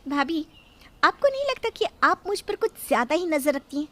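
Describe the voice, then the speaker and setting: A woman's voice: high-pitched, very animated, fairly quick, fairly bright tone, voice pushed up. A woman voicing a rude, impatient young sister-in-law in a moral story, scolding her elder. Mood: angry, defensive. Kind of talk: Hindi moral story character dialogue.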